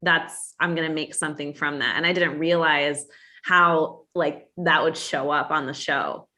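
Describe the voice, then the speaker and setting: A woman's voice: deep voice, steady tone, a little slow, pushed and bright. A woman reflecting earnestly on how she cooks, in a rambling, casual way. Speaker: guest, cook on a competition show. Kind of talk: casual group chat.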